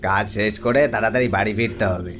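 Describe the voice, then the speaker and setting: A man's voice: fairly low pitch, fast talker, a bit bright, soft background music, lightly acted. A man voicing a shady, nervous corpse burner in a horror cartoon. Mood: anxious. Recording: noisy outdoor-style ambience under voice.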